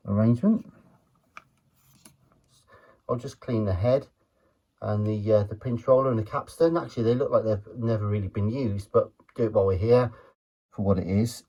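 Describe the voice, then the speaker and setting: Guy doing a casual hands-on teardown, mellow slightly rough voice, low-key and unhurried. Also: lo-fi sound. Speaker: retro electronics hobbyist presenter.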